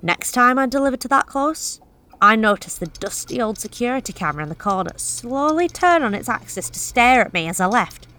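An actress playing a delivery driver who tells a horror story in the first person, wry and confiding. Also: female voice, fairly high pitch, expressive, fairly quick, bright, forceful tone. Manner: wry, confiding, self-deprecating. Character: wry, uneasy woman courier.

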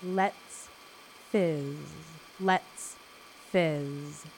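A woman playing a dreamy, self-absorbed amateur music maker in an audio drama, with a deadpan, rhythmic manner. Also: low voice, drawn-out words, slight background noise, rough recording. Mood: trance-like chanting.

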